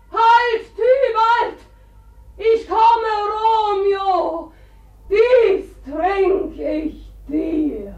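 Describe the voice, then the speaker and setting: An overwrought tragedienne declaims in a grand old stage style. Her very high voice drags out each word, heard muffled from far off in an echoing hall. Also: exaggerated voice. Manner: declamatory, sobbing, drawn-out. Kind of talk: old-fashioned stage recitation. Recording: distant, echoey hall, muffled old radio.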